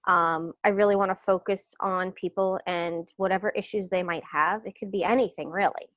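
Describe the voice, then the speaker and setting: A woman, a wellness coach speaking as an interview guest, relaxed and meandering with hesitant fillers. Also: medium pitch, moderate pace, no echo. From New York. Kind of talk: casual interview.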